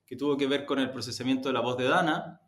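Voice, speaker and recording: A man's voice: mid-range voice, quick pace, fairly bright tone. A man giving conversational interview answers, explaining things in a hesitant way as he searches for words. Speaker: media executive, interview guest. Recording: roomy, echoey sound.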